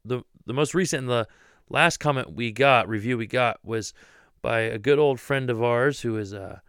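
A man from Ohio chatting on a ministry podcast, his voice smooth and resonant. He talks freely and stumbles over repeated words when a new point begins. The sound is close and dry. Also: mid-range voice, moderate pace, a bit bright, dry recording. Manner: talkative, repeats words when starting thoughts. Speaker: podcast co-host, youth pastor. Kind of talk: casual chat.

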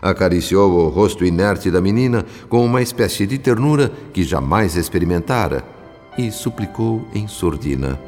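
A man with a Brazilian accent playing a solemn, compassionate narrator, telling a radio drama in measured literary phrases. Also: low voice, animated, a little mellow. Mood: solemn, tender. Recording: long reverb with music behind. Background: music.

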